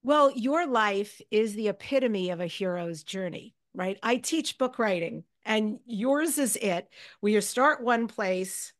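A woman's voice: mid-range voice, animated, measured pace. A woman hosting a podcast interview in a conversational, effusive and emphatic way.

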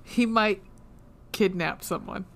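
A woman with a low voice co-hosting a podcast, chatting casually and swearing freely, with frequent ums and trailing thoughts. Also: lively intonation, slow pace, creaky. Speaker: podcast co-host. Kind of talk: casual chat.

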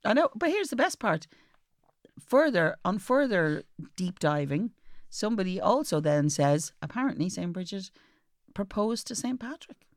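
A woman's voice: medium pitch, big pitch swings, normal speed, no echo, clean studio sound. A woman with an Irish accent chatting casually and comically as a podcast co-host, telling things in a chatty, anecdotal way.

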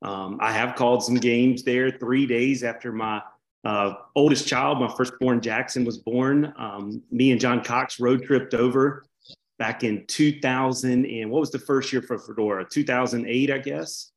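A man's voice: medium pitch, steady tone, measured pace. A man who works as a sports broadcaster, guesting on a podcast and telling an anecdote in an easygoing way. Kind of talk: storytelling in casual chat. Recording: roomy echo.

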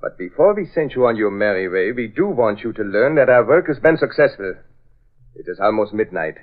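Smug Nazi ringleader on tinny radio. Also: male voice, on the low side, big pitch swings, brisk. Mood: smug, menacing. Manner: mocking, pompous, formal diction. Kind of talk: 1940s radio drama acting. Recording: tinny vintage radio recording.

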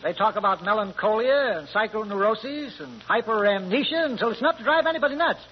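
A man's voice: high-pitched, lively intonation, brisk, crowd noise. An actor playing a baffled, plain-spoken jury foreman, folksy and deferential, in a comic old radio drama. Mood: baffled, apologetic. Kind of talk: comic 1930s-40s radio drama acting. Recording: muffled, faintly noisy old radio recording.

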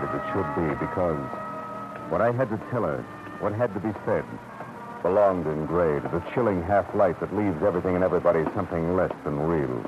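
An American actor playing a tough, wry, world-weary private detective in a classic radio noir drama, clipped and brooding. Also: male voice, deep voice, natural voice. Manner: hard-boiled, clipped, brooding. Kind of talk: classic radio noir first-person narration and dialogue. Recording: muffled vintage radio recording, loud music behind.

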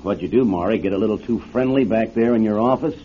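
An actor playing a wry, needling vice squad detective in a radio drama. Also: male voice, lowish voice, quick pace, breathy edge. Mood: sardonic. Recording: dull lo-fi tape with faint noise.

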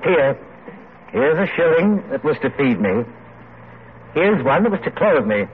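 An actor playing a poor, proud, idealistic pamphleteer, wry and earnest, in an old radio drama. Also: male voice, a little high, sing-song, measured pace, phone-quality sound. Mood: rueful, bitter. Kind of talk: 1940s-50s radio drama acting. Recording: thin, noisy old radio recording.

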